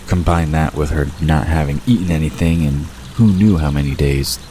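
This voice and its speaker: A man with an exceptionally low voice narrates a story. He reads scripted prose in audio drama style, with noticeable ambient noise behind him. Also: normal speed, dark tone.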